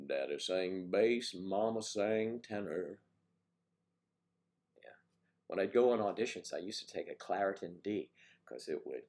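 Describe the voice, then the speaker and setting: This is an older man with a cold that has made his low voice even deeper and stuffier. He chats casually on his morning livestream, teasing viewers and greeting them by name. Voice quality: deep voice. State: congested with a cold. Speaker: livestream host.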